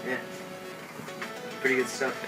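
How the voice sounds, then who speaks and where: A man's voice: a little slow, close to an everyday voice. A man playing a male physician guest in an amateur talk-show skit, speaking hesitantly with lots of fillers. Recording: distant mic, music behind.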